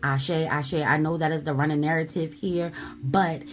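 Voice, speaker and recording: A woman giving a spiritual tarot message in a loud, emphatic voice, blunt and at times swearing, punctuating her points with repeated affirmations. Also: deep voice, fairly even intonation, moderate pace. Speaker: tarot reader.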